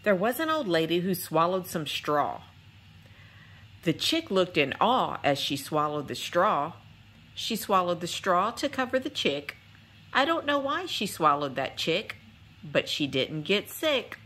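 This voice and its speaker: A woman host reading a children's picture book aloud in an expressive, sing-song, rhythmic storytelling style. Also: lowish voice, unhurried. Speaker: read-aloud channel host.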